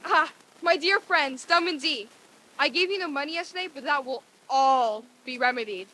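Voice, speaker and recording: Female actor as overjoyed old male miser, high-pitched, declamatory, old-time radio drama. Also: moderate pace, pushed and bright, poor audio. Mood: warm joy. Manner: exclamatory, declamatory delivery.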